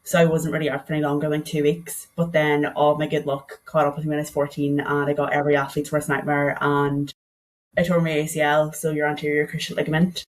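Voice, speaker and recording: A woman's voice: low voice, little pitch movement, a little fast. A young woman with a Donegal Irish accent, a podcast guest telling her story in a chatty way, often saying "like". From Ireland. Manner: chatty, peppers speech with "like".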